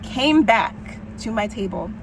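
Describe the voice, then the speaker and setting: A young adult woman with a big voice, telling personal stories in an expressive, emotional vlog style. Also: a little high, a little slow, gravelly, noisy background. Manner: expressive and emotional, frequent "like" fillers and laughs. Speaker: vlogger and musical theatre performer.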